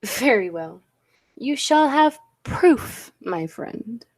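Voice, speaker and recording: A woman acts out an eccentric lady scientist in a vintage-style radio play. Her voice sits fairly high and moves slowly and deliberately. She declaims with complete confidence in her own invention. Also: sing-song, darkish tone, full character voice. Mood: brisk, commanding. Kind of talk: 1940s radio play acting.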